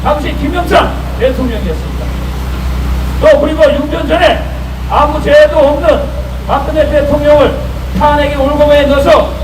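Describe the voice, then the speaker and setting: A man giving a political protest speech in an emphatic, oratorical way with deliberate phrasing. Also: very high-pitched. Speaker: political rally speaker. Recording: echoey, noisy street PA, distant mic.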